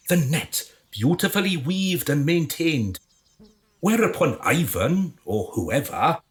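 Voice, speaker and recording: A man acting in a radio drama as a smug, scheming leader with a grandiose, theatrical manner. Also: on the high side.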